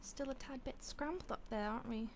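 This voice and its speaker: A woman voicing a polite, predatory female vampire who toys with her captive in soft, often whispered, mock-polite speech. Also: high-pitched, brisk, a little hiss or hum. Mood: menacing relish. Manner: teasing, mock-polite, savoring. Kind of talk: audio roleplay.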